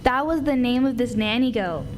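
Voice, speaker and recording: A woman's voice: fairly high pitch, expressive, moderate pace, lightly acted. A woman playing a cheeky, stubborn, teasing little girl with insistent delivery in an old-time radio comedy. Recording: noisy live stage recording.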